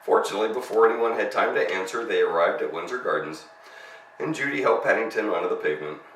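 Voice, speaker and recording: A man's voice: on the low side, expressive, quick pace, fairly bright tone. A man narrating a children's story as a storyteller, reading expressively. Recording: roomy, distant mic, faint noise.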